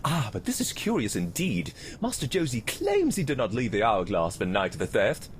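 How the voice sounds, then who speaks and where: A man playing a pompous gentleman detective in a fan-dubbed fantasy game, speaking in grand, florid, theatrical phrases. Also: mid-range voice, wildly swooping pitch, normal speed, slight background noise. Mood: musing. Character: pompous, flamboyant gentleman detective, self-styled inspector extraordinaire. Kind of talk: fan-dub video game acting.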